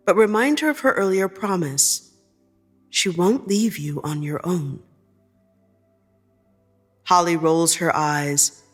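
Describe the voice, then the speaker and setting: Woman narrating fiction over music, measured. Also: fairly low pitch. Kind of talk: scripted second-person fiction narration.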